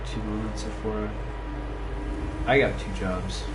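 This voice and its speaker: A man's voice: lowish voice, steady tone, normal speed, roomy sound. A young man around twenty chatting casually with a friend during a game stream, asking questions and reacting with easy remarks. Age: young adult. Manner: easygoing, asks questions, reacts with "dang" and "that's crazy". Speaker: co-host reading chat. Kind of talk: casual chat while watching a game. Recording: distant mic under loud game music.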